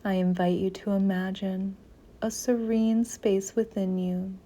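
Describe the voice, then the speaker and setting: A woman leading a guided meditation in a soft, gentle, soothing voice, with phrases often trailing into near-whispers. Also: medium pitch, little pitch movement, measured pace.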